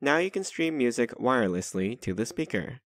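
A man's voice: medium pitch, sing-song, moderate pace, over loud music. A man reading scripted narration for a product review.

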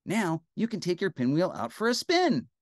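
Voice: medium pitch, huge pitch swings, normal speed, no room sound, studio quality, voice pushed up. A performer voicing a cheerful young girl who explains crafts step by step in a children's storybook. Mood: cheerful, helpful.

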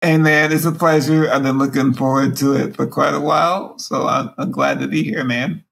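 A man in his forties with a raspy voice, speaking through a breathing machine mouthpiece and pausing often as a guest on a video call. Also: medium pitch, level tone, fairly bright tone. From Alabama. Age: 40s. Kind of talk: candid personal interview. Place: small room at home, indoors.

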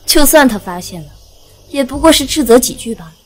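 A woman playing a bold, generous fox-clan demon lord in an audio drama, confident and brisk. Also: sits high, animated, poor audio. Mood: steady. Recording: soft music behind.

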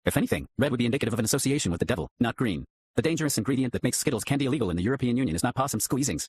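A man announcing a scripted mock disclaimer in a formal, deadpan manner. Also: mid-range voice, rapid-fire.

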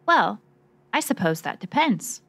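A woman's voice: medium pitch, sing-song, a little slow, a bit bright, no echo, heavily acted. Sassy noir girl reporter played by a woman. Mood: wry, sassy. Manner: wry, punchy, slangy. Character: sassy, sharp female investigative reporter. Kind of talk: noir radio drama dialogue.

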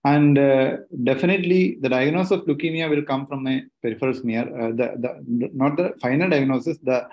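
A man in his thirties with an Indian accent, a doctor explaining haltingly as a panelist on a video call. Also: medium pitch. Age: 30s. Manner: halting, hesitant delivery with frequent pauses. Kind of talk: explanatory medical panel answer. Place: small room indoors. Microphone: laptop on a video call. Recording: dull lo-fi video call audio.

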